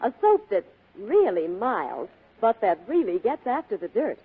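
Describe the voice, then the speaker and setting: A woman's voice: mid-range voice, big pitch swings, unhurried, breathy edge. A woman playing a practical, enthusiastic housewife and mother in a 1940s radio commercial. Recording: tinny 1940s radio broadcast.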